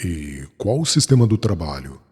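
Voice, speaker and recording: A man's voice: low-pitched, very animated, moderate pace, mellow, breathy edge, pitched below the performer's own voice, heavily acted. A man voicing an earnest, respectful young male traveler in an audiobook. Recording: distant, reverberant, noisy.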